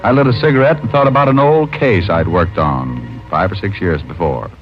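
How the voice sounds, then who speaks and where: Man playing a lowish-voiced wry private eye. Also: moderate pace, natural voice. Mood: wry narration. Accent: American. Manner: wry, self-mocking. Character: wry, wisecracking big-city male private detective. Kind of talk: 1940s-50s radio detective acting and narration. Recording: dull old radio recording with music.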